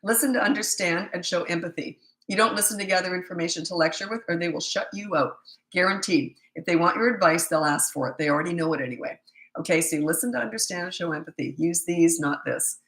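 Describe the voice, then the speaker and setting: A woman's voice: fairly low pitch, fast talker, a bit bright, reverberant, poor audio. A woman in her sixties with a cold, her voice giving out, giving blunt, matter-of-fact parenting advice on a live stream. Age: 60s. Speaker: parenting coach.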